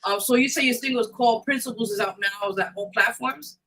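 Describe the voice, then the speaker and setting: A woman's voice: a little high, fast, pushed and bright, rough recording. A woman hosting a live radio show, interviewing in a casual way. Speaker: radio host.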